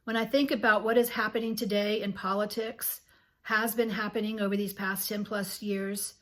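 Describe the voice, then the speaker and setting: A woman in her sixties hosts her own channel, her voice scratchy and a little worn. She reads her reflections slowly and earnestly in a soft tone, pausing now and then to clear her throat. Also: medium pitch, little pitch movement. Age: 60s. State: scratchy voice from illness. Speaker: channel host. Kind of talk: reflective reading to camera.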